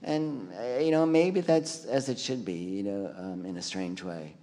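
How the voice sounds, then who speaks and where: A man artist on a panel, speaking in a relaxed, meandering way with many fillers. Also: on the low side, unhurried, in a large hall. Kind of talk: casual panel discussion.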